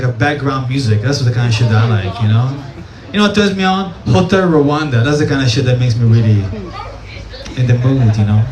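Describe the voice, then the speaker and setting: Stand-up comic, man, Syrian accent, slightly high voice, deadpan and tipsy, echoey cafe room. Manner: deadpan, repeats "that's nice" after punchlines. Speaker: stand-up comedian. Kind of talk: stand-up comedy set. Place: small cafe comedy stage. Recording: echoey room with crowd noise. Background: audience laughter and room ambience.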